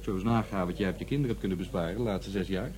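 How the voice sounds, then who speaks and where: An actor playing a defiant, aloof young left-wing student in a Netherlands Dutch radio play. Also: male voice, low voice, very fast, a little mellow, natural voice, poor audio. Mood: insistent. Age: young adult.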